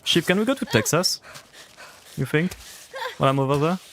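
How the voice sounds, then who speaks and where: A man's voice: on the high side, animated, brisk, fairly bright tone, a little hiss or hum. A young man with a French accent, chatting casually with his chat while playing a game on a livestream. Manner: chatty, rambling, answers chat. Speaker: streamer.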